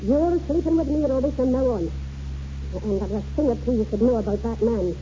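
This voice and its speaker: An actress playing a stern, sharp-tongued old Scottish grandmother, scolding and bossy, in 1940s radio drama style. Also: fairly high pitch, brisk, natural voice. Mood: bitter, commanding. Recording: muffled, noisy 1940s radio recording.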